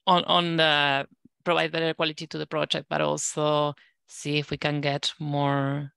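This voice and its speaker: A woman's voice: sits low, flat delivery, normal speed, creaky, fairly bright tone, clear, resonant tone, no echo, studio quality. A woman giving a spoken status report to colleagues as a working group lead on an online call.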